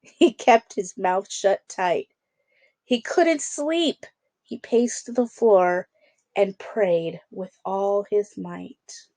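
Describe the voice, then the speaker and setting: Slow-paced woman teacher reading aloud. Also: mid-range voice, a little mellow, no echo. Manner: conversational, explanatory, with check-in questions. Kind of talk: picture-book read-aloud with casual asides to children.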